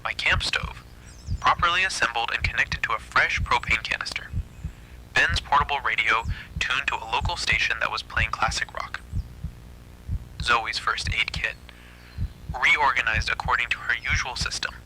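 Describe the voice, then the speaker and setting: A man's voice: medium pitch, moderate pace, bright, forceful tone, a little hiss or hum, low-quality recording. A man narrating a scripted first-person horror story.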